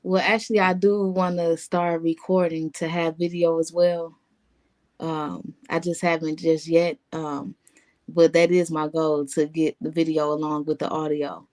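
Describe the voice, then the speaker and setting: A woman podcaster answering interview questions in a casual, soft-spoken way, pausing with um and you know. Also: sits low, level tone. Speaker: guest, podcaster.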